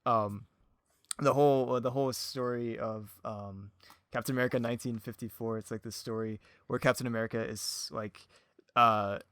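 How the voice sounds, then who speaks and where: A man's voice: mid-range voice, fairly even intonation, normal speed, a bit bright, no room sound. Giggly, rambling man chatting, dry sound. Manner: giggly, rambling, self-deprecating. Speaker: podcast guest, audio drama creator. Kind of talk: casual chat.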